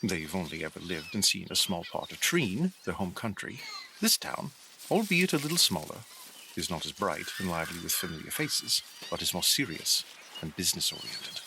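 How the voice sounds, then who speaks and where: A man's voice: low voice, very animated, moderate pace, gravelly, noisy background, exaggerated voice. A man narrating a pirate adventure audio drama as a storyteller, in a scripted dramatic style. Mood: scene-setting.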